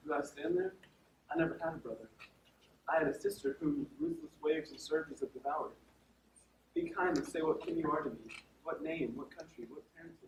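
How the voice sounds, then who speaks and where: A man's voice: on the high side, big pitch swings, a little fast. Man playing an earnest young brother, echoey. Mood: moved, wondering. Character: earnest young man, long-lost twin brother, tender. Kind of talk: theatrical stage acting. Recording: echoey stage, distant mic, faint noise.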